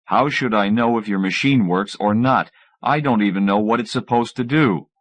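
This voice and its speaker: Pompous male professor, voiced by a male narrator: cold, dismissive, sarcastic, lowish voice, audiobook dialogue. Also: normal speed, natural voice. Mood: scornful.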